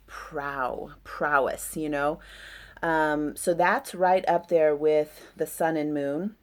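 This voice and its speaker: A woman with a deep voice talking about astrology in a loose, rambling, reflective way with many fillers. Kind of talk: casual astrology talk.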